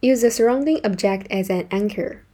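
A woman's voice: medium pitch, moderate pace, dark tone, slightly rough. A woman working as an English teacher, narrating a scripted language lesson and modelling example phrases in an instructional way.

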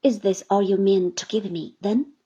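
A woman voicing a shabby, surly middle-aged man who talks in sullen, grudging demands, in a breathy voice. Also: medium pitch, steady tone, normal speed, warm tone, lo-fi sound.

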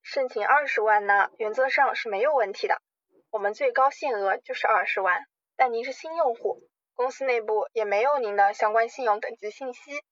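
A woman playing a smooth-talking, scheming loan company manager with polite, formal sales patter in an amateur radio drama. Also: on the high side, brisk, fairly bright tone, dry recording, lo-fi sound, close to an everyday voice. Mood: persuasive, reassuring.

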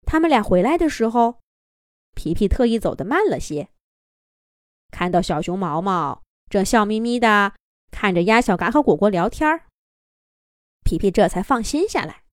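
A woman narrating a children's story in a gentle, expressive, singsong way. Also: mid-range voice, normal speed, long pauses, no echo, studio quality. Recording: soft background music.

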